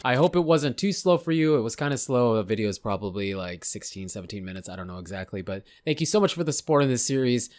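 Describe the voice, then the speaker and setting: A man hosting a gaming channel chats loosely over his footage, hedging and rambling as he goes. His voice is a little hoarse, as if he is losing it. The sound is dry and close, with no room around it. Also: mid-range voice, lively intonation, fast, few pauses, no room sound. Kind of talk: casual gameplay commentary.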